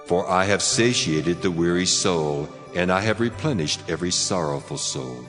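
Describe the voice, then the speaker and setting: Scripture drama casting: solemn, fatherly God, very low voice, cavernous echo, music beneath. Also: a bit bright. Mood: solemn, resolute. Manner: measured, solemn, declamatory. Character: God, a solemn, fatherly, commanding male deity. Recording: long cavernous reverb, music underneath.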